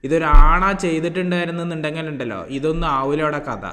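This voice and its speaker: Male online commentator, fairly high voice, informal and repetitive with rhetorical questions; long echoing reverb on the recording. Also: fast talker, bright, forceful tone. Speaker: YouTube reaction commentator. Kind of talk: informal opinion commentary to viewers.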